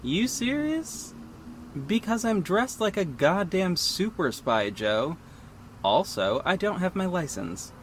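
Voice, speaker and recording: A man acting as a guarded, sardonic costumed vigilante in an audio drama, measured and terse, with an exasperated edge. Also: high voice, big pitch swings, a little hiss or hum, exaggerated voice. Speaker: narrator and lead actor. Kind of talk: first-person audio drama narration and dialogue.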